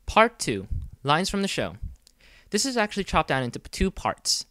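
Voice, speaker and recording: Man, middle-pitched, dry close sound, casual tutorial host giving audition instructions. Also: sing-song, moderate pace, fairly bright tone, no echo. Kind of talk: casual scripted tutorial narration.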